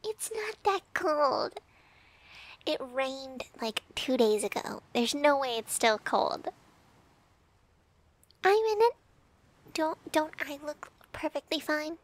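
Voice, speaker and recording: A woman playing a bratty but affectionate, easily flustered young girlfriend in an intimate ASMR roleplay, pouty and teasing, often dropping to breathy murmurs. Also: sits high, very animated, slow, pauses often, full character voice. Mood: playful, coaxing.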